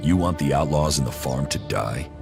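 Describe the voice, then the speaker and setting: A man's voice: extremely low pitch, moderate pace, vocal fry, over music. An actor playing a stoic, blunt male mercenary hero in a video game, speaking tersely. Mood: blunt, questioning. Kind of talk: video game dialogue acting.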